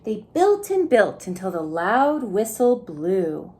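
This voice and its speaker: Female preschool storyteller, mellow and unhurried, very animated pitch, gentle and engaging with little listeners. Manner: gentle, asks the listening children questions. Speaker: preschool story time reader. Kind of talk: expressive picture-book read-aloud for young children.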